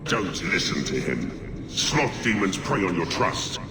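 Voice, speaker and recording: An actor playing a stern, righteous spirit in a video game, speaking gravely and formally with an echoing effect. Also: male voice, deep voice, very animated, slow pace, exaggerated voice. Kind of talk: video game cutscene acting. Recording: very noisy, echoing game capture.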